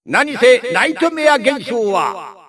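Shrill, pompous old robot scientist. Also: male voice, sing-song, slow, fairly bright tone, no echo, exaggerated voice. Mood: grave, insinuating. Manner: pompous, declamatory. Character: elderly male robot scientist, grandiose scheming villain. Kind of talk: theatrical anime game cutscene speech.

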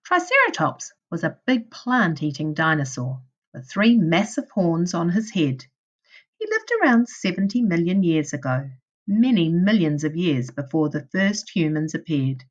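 A woman reads a picture book to young children at an unhurried pace. Her pitch moves up and down in a lively way as she speaks deliberately, like a primary school teacher. The recording is a little dull and homemade. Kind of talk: children's storybook read-aloud.

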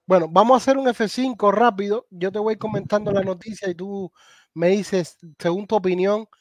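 A middle-aged man with a Cuban accent hosting a casual live stream chat over a video call, lively and warmly addressing his guest. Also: high voice, normal speed. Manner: animated, thanks viewers, calls guest gato and hermano. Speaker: host.

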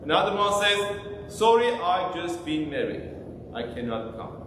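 A man's voice: a little high, very animated, moderate pace, background noise. A man in his thirties, an Armenian-speaking priest, preaching to his congregation and asking them questions. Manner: interactive, asks the congregation questions. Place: small church sanctuary, indoors. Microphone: far camera. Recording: echoey church from far off.

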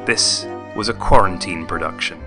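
A man's voice: sits low, normal speed. A man reading scripted closing credits as a narrator. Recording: loud string music under voice.

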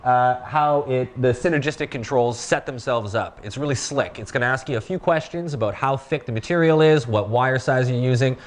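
A man in his thirties giving a casual demonstration of a welding machine in a workshop, talking in a relaxed, plain-spoken way with fillers. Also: mid-range voice, moderate pace, hardly any pauses.

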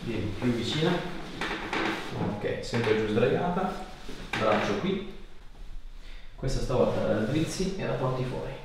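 A man's voice: medium pitch, lively intonation, unhurried, pauses often. A man working as a chiropractor, giving short, reassuring instructions to a patient during hands-on treatment. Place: small clinic treatment room. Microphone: camera a few steps away. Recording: echoey room, distant mic, background noise.

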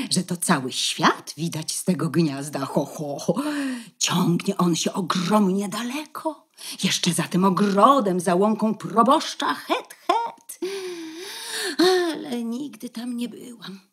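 An actress playing a weary, proud, stubborn young mother duck in a radio play, with a husky voice. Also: medium pitch, lively intonation, slow. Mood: explaining, patient.

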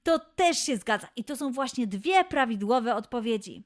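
Woman hosting a kids quiz, high pitched, encouraging, bit of music behind. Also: animated, normal speed. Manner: encouraging, clearly addressing young listeners. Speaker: host of children's quiz show. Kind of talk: playful scripted quiz presenting for children.

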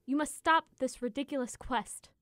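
Woman playing a haughty, high-voiced goddess. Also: moderate pace, close to an everyday voice. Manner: formal, imperious. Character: haughty, scornful goddess of love. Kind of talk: audio drama acting.

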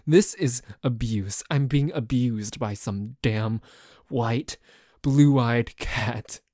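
A man's voice: mid-range voice, drawn-out words, dark tone, no room sound, studio quality. A man playing a dorky, jealous boyfriend in an ASMR roleplay, complaining in a stammering, exasperated way that sometimes drops toward a whisper. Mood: aggrieved, dramatic. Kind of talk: ASMR roleplay audio drama.

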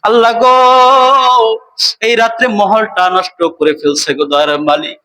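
Male imam, Bangladeshi accent, forceful high voice, pleading supplication with drawn-out, wailing calls. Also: fairly even intonation. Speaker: imam leading congregational prayer. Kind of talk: emotional supplication prayer, half-chanted.

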